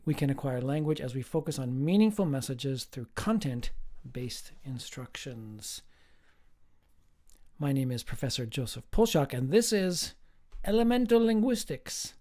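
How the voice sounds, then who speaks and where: Slow, emphatic male professor. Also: fairly high pitch, a little mellow. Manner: slow, emphatic delivery with playful asides. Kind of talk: recorded lecture.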